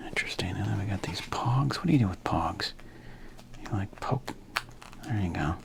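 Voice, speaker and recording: A man's voice: on the low side, animated, normal speed, gravelly, slight background noise. A man casually commenting while opening packs of trading cards, with wry jokes and half-whispered asides. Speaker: card collector opening packs.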